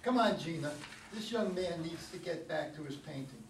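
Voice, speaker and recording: Play-reading part: genial, joking man read by a male actor, high-pitched, emphatic, heard in an echoey room. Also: expressive, normal speed. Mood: jovial. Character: genial, joking man narrating his memories to the audience. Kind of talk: staged script reading. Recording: echoey room, distant mic, faint noise.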